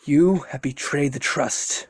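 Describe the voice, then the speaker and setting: An amateur narrator voicing an earnest, idealistic young male hero, pitched high and pleading in a spoken fan story. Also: takes it slowly, husky, dry recording. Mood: cold.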